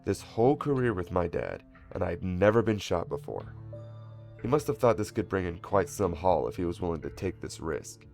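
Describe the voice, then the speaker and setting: A man with a gravelly voice, reading a scripted first-person horror story in a dramatic way. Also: sits low, big pitch swings, moderate pace, darkish tone, faint music behind.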